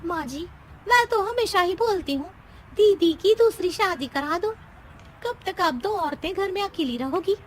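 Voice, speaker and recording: A woman playing an anxious, nagging younger woman of the household in an animated story, pleading and exasperated. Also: very high-pitched, a little slow, a little hiss or hum. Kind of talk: Hindi animated story dialogue.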